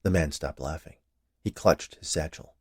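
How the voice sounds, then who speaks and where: A man narrating a scripted short story as its reader, in a measured, expressive manner. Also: very deep voice, breathy edge, no room sound, studio quality.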